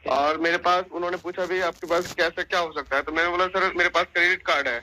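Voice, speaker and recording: Male phone complainant, high and forceful voice, repeats himself haltingly, very respectful in address. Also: fairly even intonation, slight background noise. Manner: deferential, repetitive, halting. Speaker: caller making a complaint. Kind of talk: phone complaint, recounting an account. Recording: recorded phone call.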